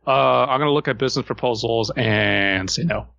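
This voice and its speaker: A man's voice: mid-range voice, moderate pace, creaky, fairly bright tone, no echo, muffled recording. A man co-hosting a podcast in casual comedic chat, wry, rambling and given to swearing. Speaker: podcast co-host.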